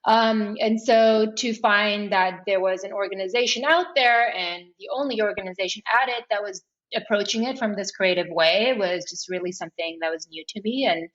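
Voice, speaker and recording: A woman in her thirties from Switzerland recounts her story in a conversational interview. Her voice sits at a medium pitch, and the audio is slightly dull. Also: normal speed, a bit bright. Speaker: podcast guest, nonprofit co-founder.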